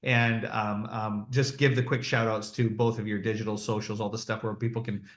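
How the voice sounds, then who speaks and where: Flat-voiced man host in an echoey room. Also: on the low side, normal speed. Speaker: summit host. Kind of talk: virtual panel discussion.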